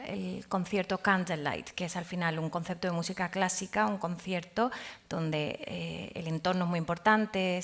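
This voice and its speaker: A woman speaking as a panel guest, telling her story in a lively way and acting out past conversations. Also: on the low side. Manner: animated storytelling, acting out dialogue. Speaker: panel guest, business manager.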